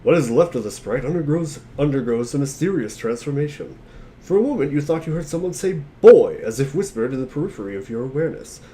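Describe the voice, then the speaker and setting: Lively-pitched man reading narration aloud. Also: fast. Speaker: reader of narration. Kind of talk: amateur dramatic reading of scripted narration.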